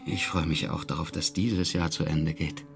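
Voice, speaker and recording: A man's voice: extremely low pitch, fairly even intonation, normal speed, gravelly, loud music behind the voice. An actor in a radio drama playing a hesitant, brooding man who muses to himself. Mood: reserved, polite.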